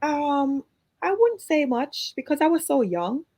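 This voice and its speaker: A woman's voice: sits high, sing-song, a little slow, darkish tone, no echo. A woman from Jamaica with a Jamaican accent, chatting as an interview guest, laughing easily and trailing into fillers. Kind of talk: casual interview conversation.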